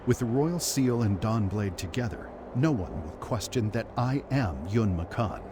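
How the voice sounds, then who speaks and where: An actor voicing a dignified older deposed ruler in a game, speaking in a measured, formal way. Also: male voice, lowish voice, lively intonation. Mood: grave. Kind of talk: game cutscene voice acting. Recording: game audio with clear ambient noise.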